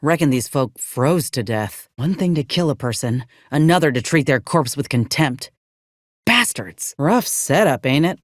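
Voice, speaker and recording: Game companion: tough female ex-medic soldier, US drawl, dry sarcasm, animated pitch, close dry sound. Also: unhurried, dry recording, exaggerated voice. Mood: wry, cautious, then angry, then thoughtful, dry. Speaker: companion character. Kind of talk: video game voice acting.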